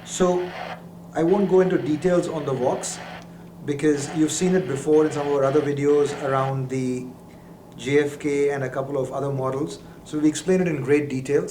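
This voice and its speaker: A man's voice: mid-range voice, mellow. A man giving a methodical, explanatory product demonstration, as a presenter. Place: indoor lab. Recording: roomy echo, faint background noise.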